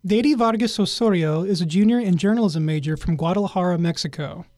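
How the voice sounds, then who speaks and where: Man, news narration, measured, high pitch, dry recording. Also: darkish tone, dry recording. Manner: measured reading.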